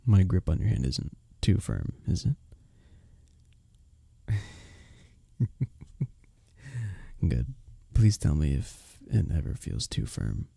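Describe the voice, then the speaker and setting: A man playing a courteous, smitten young village innkeeper in an intimate romantic fantasy roleplay, speaking softly and breathily with formal, bashful phrasing. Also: a bass voice, big pitch swings, unhurried, gravelly, slightly rough, no room sound, heavily acted. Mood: gently attentive.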